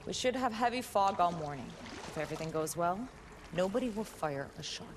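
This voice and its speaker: An actress playing a cautious, determined wartime operative in a video game cutscene. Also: female voice, fairly low pitch, animated, fairly quick, close to an everyday voice. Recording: noisy water and boat ambience.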